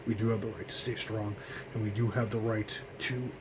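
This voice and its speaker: A hoarse man in his twenties from the United States hosting his own radio show, speaking in a halting, earnest way full of ums and restarts. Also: fairly low pitch, little pitch movement, noisy background. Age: 20s. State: hoarse, coughing. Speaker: host of a home radio show. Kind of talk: solemn remembrance monologue.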